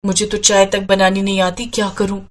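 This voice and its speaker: A woman's voice: medium pitch, completely flat delivery, a little fast, lightly acted. A woman voicing a quarrelsome, anxious young sister in a cartoon story, with a plaintive, emotional delivery. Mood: worried.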